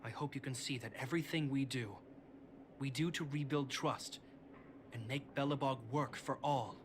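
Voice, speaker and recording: A man's voice: mid-range voice, moderate pace, lightly acted. Male actor for dutiful young captain in a game; medium register, diplomatic and composed, noticeable noise behind. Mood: earnest conviction. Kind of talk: scripted video game voice acting.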